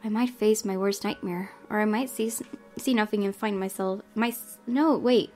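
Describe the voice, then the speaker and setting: Expressive woman doing a let's play. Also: medium pitch, normal speed. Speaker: gaming video host. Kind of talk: let's play, reading game text aloud with reactions. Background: background game music.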